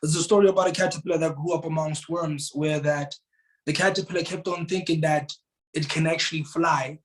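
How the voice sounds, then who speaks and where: A man's voice: fairly high pitch, steady tone, fairly quick, rough recording. A man from South Africa, a Tswana speaker, telling a motivational story earnestly as an interview guest. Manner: earnest, repeats words, rises to emphatic bursts.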